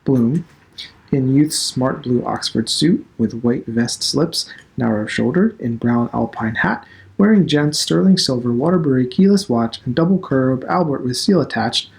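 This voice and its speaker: A man reading a novel aloud as dramatic narration, deliberate and expressive. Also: medium pitch, vocal fry, low-quality recording. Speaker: literature instructor reading aloud.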